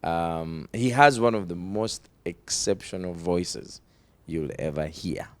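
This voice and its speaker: A man with a Ugandan accent hosting a talk show interview, switching between English and Luganda, drawing out words for emphasis and often laughing. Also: sits low. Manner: emphatic, drawn-out delivery with frequent laughter.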